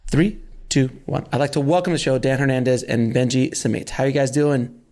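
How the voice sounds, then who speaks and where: A man from South Florida hosting a podcast, chatty and quick to laugh, often restarting his sentences. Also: mid-range voice, darkish tone. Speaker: podcast host. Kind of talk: casual interview chat.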